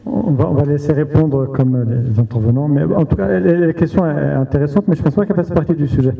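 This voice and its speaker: A man moderating a round table, keeping time in a brisk manner. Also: a little high, creaky. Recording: distant roomy hall sound, dull audio.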